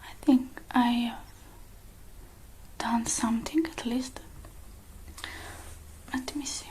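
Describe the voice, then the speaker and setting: A woman speaking in a breathy, mostly unvoiced whisper, gentle and hushed with many pauses, as in a close-miked ASMR roleplay. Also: fairly high pitch, steady tone. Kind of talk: whispered ASMR roleplay, one-sided personal attention.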